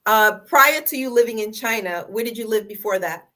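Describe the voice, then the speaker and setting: A woman's voice: a little high, expressive, normal speed, pushed and bright. A woman hosting a casual panel discussion, putting questions to her guest in a conversational way. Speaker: panel show host. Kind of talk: casual interviewing. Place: online group video call.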